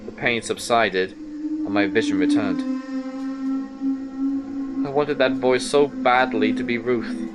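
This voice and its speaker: An actor playing a shaken, anxious investigator who narrates a horror audio drama in deliberate, suspenseful short phrases. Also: male voice, mid-range voice, over loud music, natural voice. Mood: pained, shaken.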